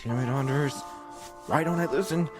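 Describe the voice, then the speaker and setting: A man's voice: medium pitch, normal speed, music in the background, natural voice. A man acting a panicky, stoned young camper who swears at himself in an audio drama. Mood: nervous, self-reproaching.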